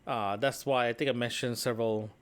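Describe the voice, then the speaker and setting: A man's voice: medium pitch, steady tone, brisk, smooth. A man talking casually and conversationally in a solo audio diary, meandering as he goes and often checking in with a tag question. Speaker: solo podcast host and vlogger.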